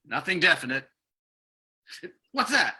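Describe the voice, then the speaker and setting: A man's voice: a little high, very animated, unhurried, pushed and bright, breathy edge, exaggerated voice. A man playing an earnest, practical government security agent in an old-time radio drama. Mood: earnest, grim.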